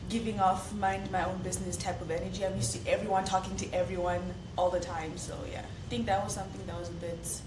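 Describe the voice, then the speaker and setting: A woman's voice: lowish voice, flat delivery, fast talker, hardly any pauses, distant mic. A young woman on a student panel, talkative and easygoing. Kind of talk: casual panel discussion. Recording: echoey room, off-mic, background noise.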